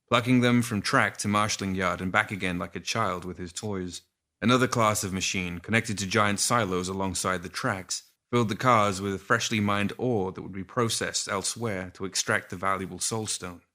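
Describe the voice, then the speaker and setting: A man's voice: fairly low pitch, bright, forceful tone. A man reading fantasy fiction aloud as an audiobook narrator. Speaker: story reader.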